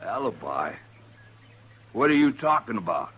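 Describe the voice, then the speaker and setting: A man's voice: on the low side, sing-song, unhurried, fairly bright tone, slightly rough, exaggerated voice. An actor playing a surly, defensive hard-drinking frontier man with a rural American drawl in a western radio drama.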